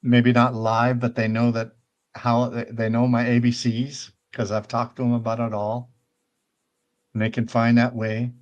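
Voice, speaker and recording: A man's voice: mid-range voice, monotone, unhurried, dull audio. Older man rambling as a casual show host. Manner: rambling, conversational, often checks in with "right?". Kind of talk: casual virtual-world tour and chat.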